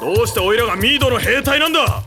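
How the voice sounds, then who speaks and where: Woman as a high, excitable folksy anime boy. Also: very fast, lightly acted. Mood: alarmed, protesting. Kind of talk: anime voice acting. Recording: loud music behind the voice.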